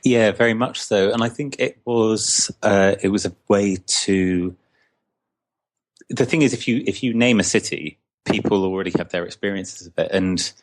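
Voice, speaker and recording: A young man from Scotland, a comics artist answering interview questions in a casual, halting way, full of restarts and fillers. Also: fairly low pitch.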